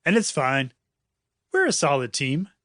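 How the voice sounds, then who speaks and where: Guy doing excitable kid space explorer for a storybook, high voice, swings all over, dry sound. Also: a little slow, no room sound, full character voice. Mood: forgiving.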